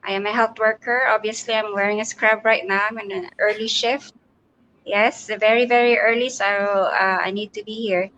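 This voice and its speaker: A woman's voice: medium pitch, level tone, moderate pace, a bit bright, a little hiss or hum, low-quality recording. Woman guest from the Philippines, friendly and upbeat, plain voice. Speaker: guest, health worker. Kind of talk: casual chat.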